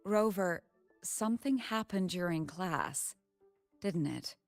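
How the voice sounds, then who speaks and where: An actress playing a composed, authoritative professor in a video game, speaking in a measured, courteous way. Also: female voice, lowish voice, animated, dry recording. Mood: thoughtful. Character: composed, authoritative female professor and academy president. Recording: music under the voice.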